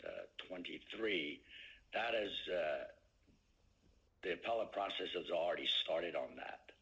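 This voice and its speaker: A man speaking as a company executive answering questions on an earnings call, with halting phrasing and many pauses. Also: deep voice, expressive, a bit bright, rough recording.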